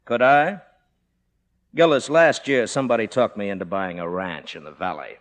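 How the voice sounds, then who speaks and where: A man's voice: on the low side, moderate pace, lightly acted. An actor playing an exasperated, money-strapped Hollywood businessman with a put-upon, complaining manner, in old radio drama style. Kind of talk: 1950s radio drama acting. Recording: reverberant vintage radio recording.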